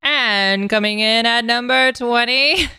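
A woman's voice: fairly high pitch, takes it slowly, noisy background. A woman co-hosting a podcast in casual, teasing banter. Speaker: podcast co-host.

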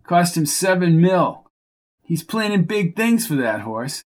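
A man voicing a hot-tempered male racehorse owner who talks gruffly and bosses people around. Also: sits high, sing-song, takes it slowly, pitched above the performer's own voice, heavily acted. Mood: angry. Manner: gruff, bossy, threatening.

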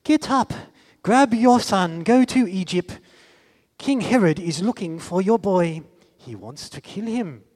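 A man voicing an angel who delivers urgent commands in a dream, high above his own voice. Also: expressive, unhurried, darkish tone, long reverb tail.

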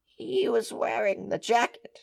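An actress playing a devoted, distraught housekeeper in an audio drama, tremulous and melodramatic. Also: female voice, a little high, sing-song, a little slow, creaky, full character voice. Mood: hesitant, tearful.

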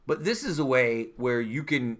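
A man's voice: mid-range voice, big pitch swings, moderate pace. A man hosting a podcast in casual chat, talking loosely with lots of fillers and laughs, his voice worn from talking all day. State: losing his voice. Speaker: podcast host.

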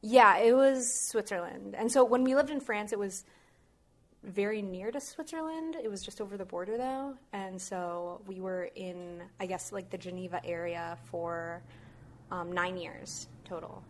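A woman's voice: medium pitch, normal speed, slight background noise. A young adult woman from Malaysia and the US, a guest chatting casually on a podcast with fillers and light laughs. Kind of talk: casual chat.

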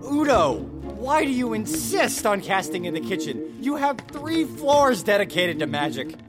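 A man's voice: high-pitched, very animated, a little slow. An actor playing a young male wizard apprentice, exasperated and sarcastic, in a fantasy audio drama. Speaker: Udo. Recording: long reverb with music behind.

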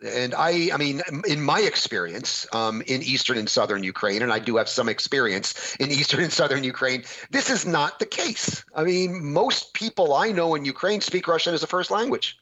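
A man's voice: on the high side, animated, normal speed, few pauses, bright, forceful tone, lo-fi sound. A man hosting a panel discussion, asking questions and giving his view in a conversational way. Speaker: host.

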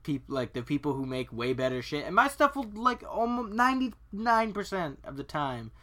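Bright-voiced man in casual gaming chat. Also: on the high side, sing-song, moderate pace. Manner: colloquial, sweary, opinionated. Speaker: podcast co-host. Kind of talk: casual chat about video games.

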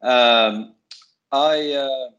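A man's voice: mid-range voice, very slow, a bit bright, lo-fi sound. A man, hesitantly introducing himself as a podcast guest. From Finland, raised in Sweden. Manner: hesitant, many fillers. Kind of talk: casual introduction.

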